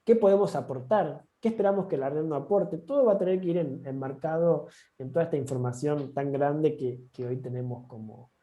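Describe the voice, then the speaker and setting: A man's voice: a little high, brisk, few pauses, warm tone. A man with an Argentine accent giving an online training session, talking informally with frequent restarts. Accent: Argentine Spanish. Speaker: course instructor.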